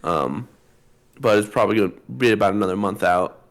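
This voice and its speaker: A man co-hosting a podcast in casual chat, his voice slightly hoarse from a sore throat. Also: fairly low pitch, a little fast. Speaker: podcast co-host.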